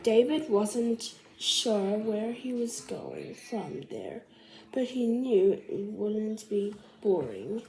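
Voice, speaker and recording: A woman reads a children's biography aloud at a slow pace. Her voice is mellow and mid-pitched, and her delivery is careful and slightly halting. Faint noise sits in the recording. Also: sing-song, gravelly, poor audio. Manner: careful, halting reading with stumbles and self-corrections. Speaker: storybook reader.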